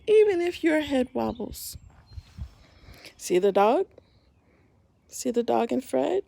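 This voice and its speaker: A middle-aged woman reads a picture book aloud for young children, stopping to point out what is in the pictures. Her voice is very animated and slow, with a gravelly texture. The sound is dry and close. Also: fairly high pitch, dry recording. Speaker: homeschool story reader.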